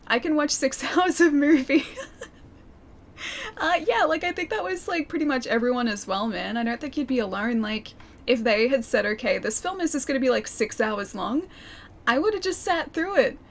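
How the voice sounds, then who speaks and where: A young woman streaming a game and chatting with her viewers, her voice a little croaky and scratchy from a sore throat, laughing often and calling people dude and man. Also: high voice, moderate pace. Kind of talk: casual chat with live stream viewers.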